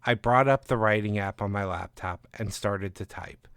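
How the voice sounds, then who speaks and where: A man's voice: fairly low pitch, moderate pace, fairly bright tone, smooth, dry recording, studio quality. A man reading scripted first-person narration as the author and narrator of a short story.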